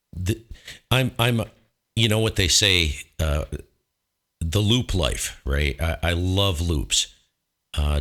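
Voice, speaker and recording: A man hosting as a ham radio operator, talking technical matters in an informal, chatty way with pauses to think. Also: a bass voice, fairly bright tone. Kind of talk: casual technical radio chat.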